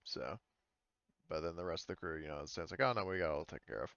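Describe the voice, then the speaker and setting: A man's voice: deep voice, expressive, fast, creaky, a bit bright, smooth, no echo, lo-fi sound. A man narrating casually as game master of a tabletop game, improvising with frequent hesitations.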